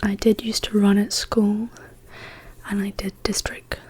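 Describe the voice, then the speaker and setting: A woman speaking as an ASMR host in a hushed, whispered, casual question-and-answer style. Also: medium pitch, little pitch movement, slightly rough. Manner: slow, hushed, gently paced delivery.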